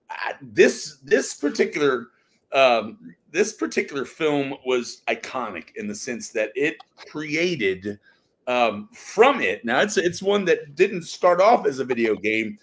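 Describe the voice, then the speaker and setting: A middle-aged man speaking casually as a podcast guest, choosing his words in halting, thoughtful phrases. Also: mid-range voice. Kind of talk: casual conversation.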